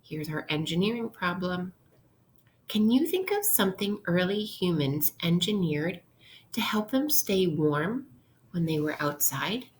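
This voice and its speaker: A woman teacher reading aloud an interactive lesson for young children, instructional and pausing to pose questions to her listeners. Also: on the low side, expressive, slight background noise.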